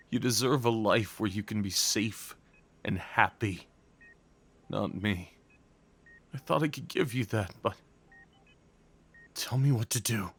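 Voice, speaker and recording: A man playing a devoted, desperate crime boss at his lover's bedside in an intimate audio roleplay, speaking in a halting, emotional way. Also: medium pitch, a bit bright, heavily acted. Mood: anguished, self-blaming. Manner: slow, halting, emotional, pleading delivery.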